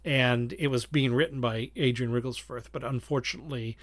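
A man's voice: medium pitch, fairly even intonation, brisk, no echo. Reflective man in close, dry sound. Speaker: podcast co-host. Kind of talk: casual analytical fan discussion.

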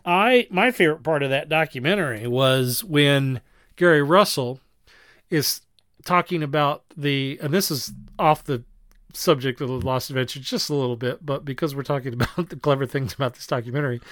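A man hosting a podcast in a casual review chat, with a halting, rambling delivery in which he often corrects himself. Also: fairly high pitch, a bit bright, no room sound, clean studio sound. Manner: chuckles while talking. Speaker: podcast host.

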